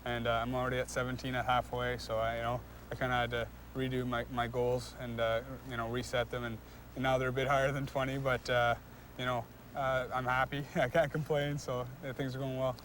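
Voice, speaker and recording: A young man, a hockey player, giving casual, halting interview answers with repeated words and frequent filler phrases. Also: mid-range voice, flat delivery, a bit bright, a little hiss or hum. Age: young adult.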